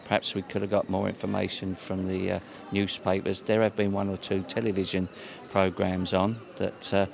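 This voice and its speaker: An older grandfather interviewed on a high street, speaking in a measured, hesitant way. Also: monotone, creaky, crowd noise. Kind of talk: vox pop street interview.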